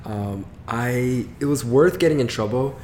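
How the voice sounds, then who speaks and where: A man's voice: mid-range voice, measured pace. A young man in his twenties from Guam, a comedian chatting casually as a guest and acting out the people in his stories. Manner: animated storyteller, acts out his parents' voices. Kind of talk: casual interview chat. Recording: echoey room with faint noise.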